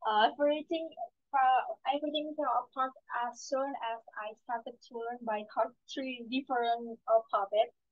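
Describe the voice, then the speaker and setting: A woman's voice: on the high side, steady tone, moderate pace, no room sound. A young woman with an Indonesian accent, a student answering speaking-test questions over a headset on a video call, hesitant and full of fillers and repeated words. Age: young adult. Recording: dry, phone-like call audio.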